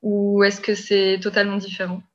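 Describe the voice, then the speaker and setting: A woman interviewing, asking careful, measured questions. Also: mid-range voice, completely flat delivery, muffled recording.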